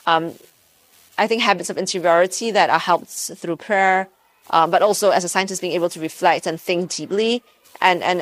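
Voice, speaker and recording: A woman's voice: lowish voice, dry recording. A woman from Malaysia, a physics professor, answering interview questions thoughtfully, often restarting her sentences. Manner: thoughtful, many restarts and fillers.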